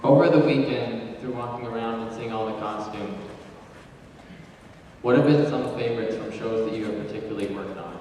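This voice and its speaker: A man's voice: lowish voice, brisk, mellow, dull audio. A man in the audience putting a question to a convention panel. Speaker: audience member asking a question. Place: large convention hall. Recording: echoey hall, distant, slightly noisy.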